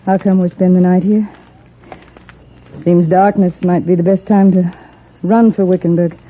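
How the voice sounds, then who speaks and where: A proud, rough-edged young woman of the American frontier, played by a radio actress. She talks in a blunt, folksy way with a husky tone, through a narrow, tinny 1950s broadcast. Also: lowish voice, fairly even intonation, moderate pace, close to an everyday voice. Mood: wary, curious. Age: young adult. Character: tough, plain-spoken young frontier woman, proud but yearning. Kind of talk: 1950s radio western acting. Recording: narrow-band, muffled 1950s radio recording.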